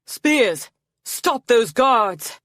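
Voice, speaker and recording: A woman voicing a young male dragon prince, anguished and fierce, who shouts and pleads urgently. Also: medium pitch, a little fast, no room sound, clean studio sound, pitched above the performer's own voice, lightly acted.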